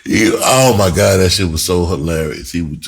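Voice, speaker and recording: A man telling a personal story in a casual, animated way, with slangy, profane talk and phrases repeated for emphasis. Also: low voice, moderate pace, gravelly, slightly rough. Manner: breaks into laughter often while talking. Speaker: screenwriter and performer telling his own story.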